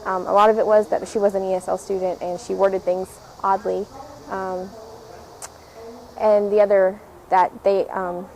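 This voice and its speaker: A young woman, a writing tutor, telling a personal story haltingly with frequent ums. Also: mid-range voice, flat delivery, dark tone, rough recording. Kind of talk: personal anecdote.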